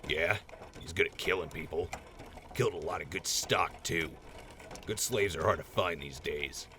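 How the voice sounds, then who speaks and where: Man playing a very deep, menacing raider slaver. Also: normal speed, sharp tone, a little hiss or hum. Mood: gruff. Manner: blunt, menacing, coarse. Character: gruff, brutal male raider slave-breaker, coldly threatening. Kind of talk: post-apocalyptic audio drama acting.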